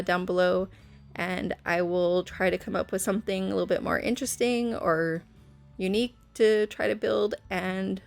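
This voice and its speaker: A woman's voice: mid-range voice, vocal fry. A young woman chatting nervously in a voice-over recorded on her phone in her bedroom, rambling with lots of fillers and restarts. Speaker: Sims speed build creator. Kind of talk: casual voice-over chat. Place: bedroom at home. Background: soft background music.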